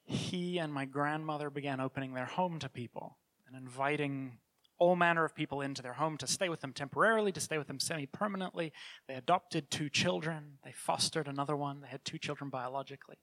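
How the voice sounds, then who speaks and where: A middle-aged man from New Zealand, his accent softened by years in Canada, giving an earnest, conversational church talk as a children's ministry leader, with frequent restarts. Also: fairly high pitch, a little fast, poor audio. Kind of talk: church talk with personal testimony.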